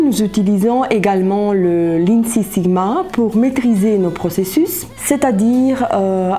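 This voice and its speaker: Woman spokesperson, slow n deliberate, mellow voice, formal corporate video thing w music behind. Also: medium pitch. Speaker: hospital quality spokesperson. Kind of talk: corporate presentation interview. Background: background music.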